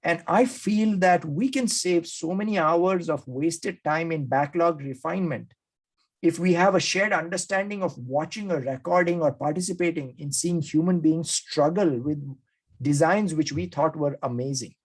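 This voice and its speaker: A man's voice: a little high, normal speed. A man hosting an interview, enthusiastically recapping his takeaways and acting out quoted speakers. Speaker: podcast host.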